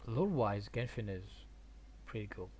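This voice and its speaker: A man from Argentina chatting casually on a game stream, haltingly thinking aloud and repeating words. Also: fairly low pitch, expressive, darkish tone, a little hiss or hum. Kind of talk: casual rambling stream chat about games.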